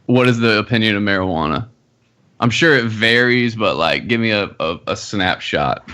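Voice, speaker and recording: Male podcast guest, late twenties, lowish voice, easygoing and jokey. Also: expressive, normal speed, bright, forceful tone. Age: 20s. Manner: laid-back, jokey, says "dude" a lot. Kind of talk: casual podcast conversation.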